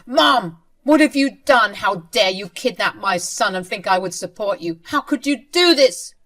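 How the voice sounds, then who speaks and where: A woman voicing a furious husband confronting his mother, speaking sternly and forcefully. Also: lowish voice, normal speed, natural voice. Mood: outraged. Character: furious protective adult son and father.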